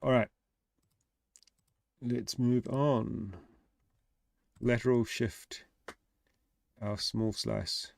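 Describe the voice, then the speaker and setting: A man with a blocked nose and a croaky voice from illness, casually giving feedback on tracks in a live stream. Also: mid-range voice, extremely slow, pauses often. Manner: relaxed, thinking aloud with fillers.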